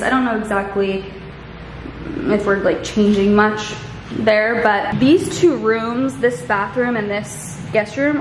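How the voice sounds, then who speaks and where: A woman's voice: a little high, noisy background. Chatty young woman in echoey empty rooms. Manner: chatty, lots of "like" and "you know". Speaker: vlogger giving a home tour. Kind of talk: casual walk-through vlog. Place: empty house, bare rooms. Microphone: handheld camera at arm's length.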